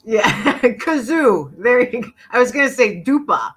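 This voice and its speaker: A woman's voice: a little high, measured pace, husky. A middle-aged woman, a podcaster and author, chatting as a guest and telling stories animatedly with frequent laughter. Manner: animated storyteller, laughs often. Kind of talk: casual interview chat.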